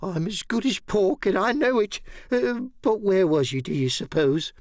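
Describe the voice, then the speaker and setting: A half-crazed old castaway sailor in a for an audiobook radio play. The voice is pitched high and leaps up and down as he rambles, repeats himself and confides with sly shrewdness. The sound is close and dry. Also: sing-song, normal speed, no echo. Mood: shrewd, wheedling. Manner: rambling, repetitive, conspiratorial.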